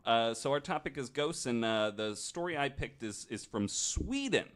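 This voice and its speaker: A man hosting a podcast, telling a story to friends in a halting, informal, conversational way. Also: medium pitch, lively intonation, a bit bright. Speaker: podcast host. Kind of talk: casual storytelling among friends.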